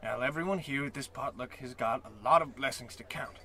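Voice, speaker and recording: A man's voice: mid-range voice, fairly quick, bright, forceful tone. A man playing a pompous, blustering rich celebrity in theatrical old-time radio comedy style. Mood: grandiose. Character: pompous, excitable rich male celebrity host.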